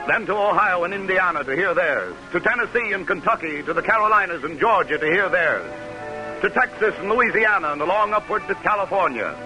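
An actor playing a solemn, exalted orator, declaiming in stately 1940s radio style to a whole nation. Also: male voice, sits high, lively intonation, brisk, poor audio. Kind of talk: 1940s radio dramatic recitation. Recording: old radio broadcast with music.

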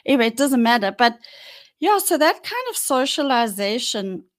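South African woman, sixties, slightly high voice, blunt and candid guest, halting with ums. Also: very animated. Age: 60s. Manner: frank and blunt, with frequent ums and trailing restarts. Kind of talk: candid personal storytelling.

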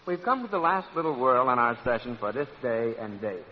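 A man with a medium-pitched voice hosts an old-time radio variety show in 1940s announcer patter. The recording is muffled, with faint noise behind him. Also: very animated, brisk. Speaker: radio show host and comic straight man.

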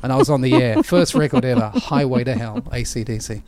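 An Australian man in his fifties sits in a radio studio, spinning animated, self-deprecating anecdotes about his early broadcasting days. His voice is mid-pitched and conversational, never showy. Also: a little fast, crowd noise. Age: 50s. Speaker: radio DJ guest. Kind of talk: casual interview storytelling.

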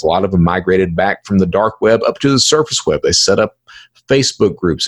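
A man's voice: low voice, creaky, breathy edge. A man hosting a solo explanatory podcast, talking emphatically, repeating phrases and posing questions to himself. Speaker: podcast host explaining cybercrime.

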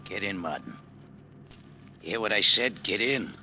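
An actor playing a menacing, casually ruthless gangster boss, terse and slangy, in 1940s radio crime style. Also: male voice, on the low side, lively intonation, normal speed, gravelly, sharp tone, exaggerated voice. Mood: cold, threatening. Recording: noisy vintage radio recording.

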